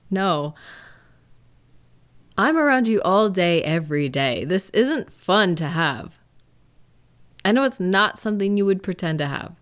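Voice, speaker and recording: A woman playing a caring, reassuring live-in partner in an intimate audio roleplay, speaking gently and conversationally with thoughtful, hesitant pauses. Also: mid-range voice. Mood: reassuring, sympathetic. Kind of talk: intimate one-sided comfort roleplay, conversational acting.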